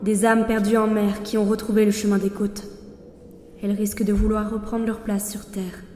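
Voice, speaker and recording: Mellow, wry servant of death played by a woman. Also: mid-range voice, level tone, normal speed, reverberant, in a large hall, a little hiss or hum, close to an everyday voice. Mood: stern, sardonic. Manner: composed, dry, sardonic. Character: the Ankou, death's servant, wry, commanding supernatural being. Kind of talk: French audio fiction acting and narration. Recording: music under the voice.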